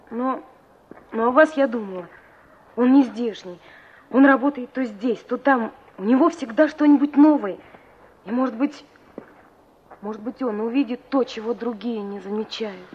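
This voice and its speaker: A woman's voice: fairly high pitch, measured pace, long pauses, slightly rough. An actress playing an earnest, stubborn and dreamy young country woman in a Soviet radio play. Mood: disappointed, pleading. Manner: earnest, wistful. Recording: dull, muffled 1960s radio recording.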